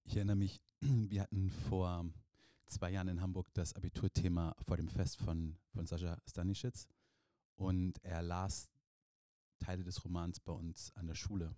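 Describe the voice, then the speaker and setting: Male co-host, very deep, dark, dry recording, calm anecdotal questions. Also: moderate pace, dry recording. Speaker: interviewer. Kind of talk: casual interview questions.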